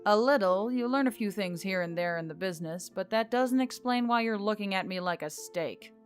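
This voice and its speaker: A jaded male boss of treasure hunters , as a woman reads him, talks with dry derision. He is gruff and deadpan, worn out by other people's trouble. It is a fantasy audiobook with music underneath. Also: medium pitch, brisk, natural voice. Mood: puzzled.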